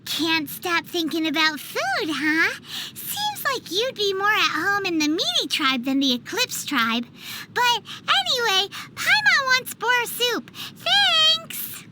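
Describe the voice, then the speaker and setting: A woman voicing a tiny, childlike, cheerful and greedy fairy companion in a video game, squeaky and exaggeratedly cutesy. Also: very animated, takes it slowly, heavy background noise, heavily acted. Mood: teasing, cheerful. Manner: refers to self in third person, exaggerated cutesy delivery.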